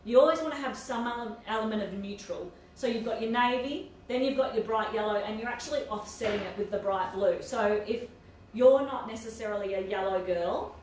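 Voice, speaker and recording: Woman, soft quiet voice, chatty boutique co-host, a bit high, heard from across a bouncy room. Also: a little fast, heavy reverb, far from the mic, slight background noise, rough recording. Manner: chatty, hesitant, lots of fillers. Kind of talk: casual live-stream chat. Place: echoey room. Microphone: no mic, far from laptop camera.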